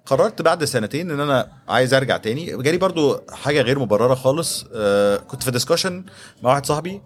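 An Egyptian man in his thirties talks as an interview guest in a conversational storytelling style. His delivery is very rapid, at an average male pitch, and English business terms are mixed into his speech. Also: animated. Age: 30s. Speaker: podcast guest, startup executive.

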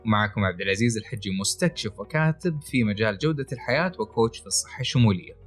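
A man's voice: fairly low pitch, animated, rapid-fire, over music. A man with a Saudi Gulf accent co-hosting a health podcast, talking in an explanatory, conversational way. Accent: Gulf Arabic, Saudi. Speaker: podcast co-host, writer and wellness coach.

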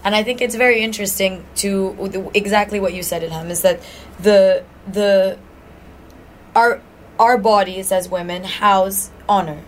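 A woman from Iraq co-hosting a podcast, talking in a reflective, halting way with many restarts. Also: medium pitch, steady tone. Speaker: podcast co-host. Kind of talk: casual chat.

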